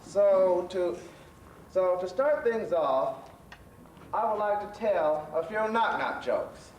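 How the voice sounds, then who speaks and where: A man's voice: high-pitched, animated, slow, a little mellow. A man playing a jovial storytelling professor who hosts a children's stage show with slightly stumbling delivery. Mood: genial. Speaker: host. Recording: roomy, distant stage recording with faint noise.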